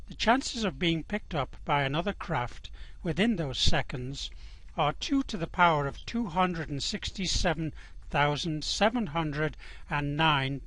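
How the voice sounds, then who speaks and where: Guidebook narrator for sci-fi radio comedy, male actor: measured, deadpan, drily amused, slightly high pitch, dry close sound. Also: no room sound, low-quality recording. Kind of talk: scripted comic radio narration.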